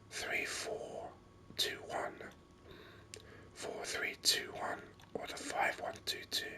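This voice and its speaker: Gamer doing asmr commentary, all breathy whispering, really slow, kinda rambly and enthusiastic. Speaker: gaming video host.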